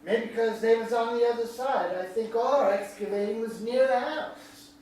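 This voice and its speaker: A man's voice: very high-pitched, normal speed, darkish tone. A man playing a laid-back country labourer in a stage drama, speaking rustic dialect. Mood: matter-of-fact. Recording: echoey hall, far from mic, faint noise.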